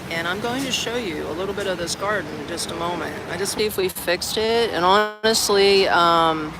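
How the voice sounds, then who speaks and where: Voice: medium pitch, moderate pace. An expat from Orlando, Florida chatting casually on a live stream from an outdoor garden café, rambling with lots of "like" and "man". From Orlando, Florida, United States. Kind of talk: casual livestream chat. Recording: outdoor stream with traffic noise. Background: outdoor ambience with traffic.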